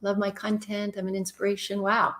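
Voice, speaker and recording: A middle-aged woman with a warm voice hosts a live show, reading out viewer questions and answering them with blunt, direct advice. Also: mid-range voice, monotone, fairly quick. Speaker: relationship advice show host.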